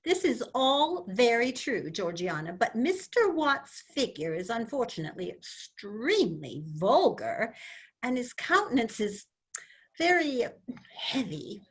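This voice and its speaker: A woman's voice: fairly high pitch, very animated, measured pace, breathy edge, full character voice. A woman reading the part of a doubtful young sister, in a dramatic reading over a video call.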